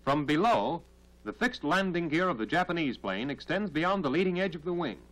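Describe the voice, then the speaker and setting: Lively, higher-pitched 1940s American male narrator. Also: poor audio. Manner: formal, instructional, measured. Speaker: training film narrator. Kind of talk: 1940s military training film narration. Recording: 1940s film soundtrack.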